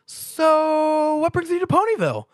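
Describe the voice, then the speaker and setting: A bubbly female cartoon pony, acted out by a man reading a story, pitched way up high. The delivery is gushing and excitable, with big leaps in pitch and a close, dry sound. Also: measured pace, no room sound, exaggerated voice. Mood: excited, surprised. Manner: gushing, exclamatory. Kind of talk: dramatic fan fiction reading.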